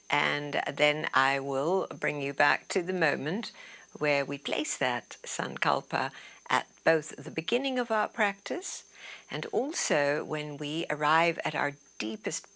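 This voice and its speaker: Female meditation guide, lowish and very slow, soothing delivery with soft trailing phrase ends. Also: lively intonation. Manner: soothing, unhurried, trailing into breathy softness. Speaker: yoga nidra teacher. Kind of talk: guided relaxation meditation instruction.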